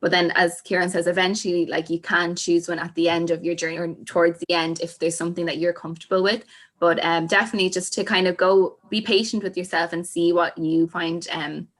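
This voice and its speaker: A woman's voice: on the low side, flat delivery, brisk. A woman, a language teacher, chatting on a panel with plenty of you-knows. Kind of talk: casual panel discussion.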